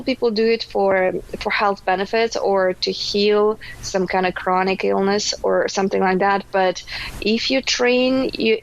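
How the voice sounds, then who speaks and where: A Russian-born woman answering interview questions casually, pausing often with ums and restarts. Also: mid-range voice, muffled recording, a little hiss or hum. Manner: hesitant, many ums and restarts. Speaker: podcast guest.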